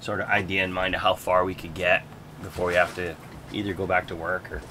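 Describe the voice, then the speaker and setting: A man's voice: deep voice, little pitch movement, fairly quick, noisy background. A man from Canada with a Canadian accent, co-hosting a vlog in casual chat. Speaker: co-host of a sailing vlog. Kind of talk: casual chat, answering viewer questions.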